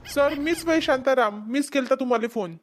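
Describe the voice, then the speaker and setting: A woman's voice: high-pitched, normal speed, very noisy, lightly acted. A woman with a Vidarbha Marathi accent voicing a helpful, reassuring village husband in a cartoon comedy, with a deferential manner. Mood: respectful. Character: helpful village husband and father, calm organiser. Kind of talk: amateur cartoon comedy voice acting. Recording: music behind the voice. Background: music.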